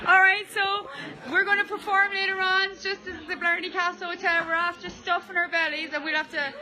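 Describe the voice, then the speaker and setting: A woman presenting a lively segment as a dance teacher, cheering and teasing her group in a casual way. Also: extremely high pitch, fairly quick, rough recording. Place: hotel dining room with a crowd. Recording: crowd chatter in the background.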